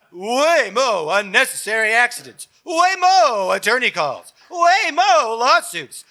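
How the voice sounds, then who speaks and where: Male co-host for live comedy, exaggerated and theatrical, lively range, bright tone. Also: very high voice, vocal fry. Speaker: comedy show co-host and performer.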